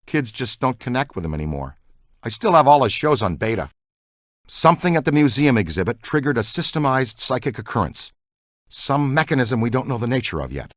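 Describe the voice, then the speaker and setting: An actor playing an excitable, geeky male scientist who investigates the paranormal in a video game, earnest and full of technical jargon. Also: mid-range voice, no echo, studio quality, natural voice. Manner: earnest, technical jargon, swings into boyish enthusiasm. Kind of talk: scripted game dialogue.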